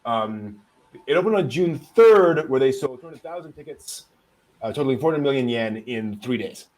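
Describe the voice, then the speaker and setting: A man hosts a casual news chat in a lively, very animated voice. His pitch moves widely from phrase to phrase while he presents items in an informal, conversational way. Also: normal speed, low-quality recording. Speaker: host. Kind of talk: casual anime news discussion.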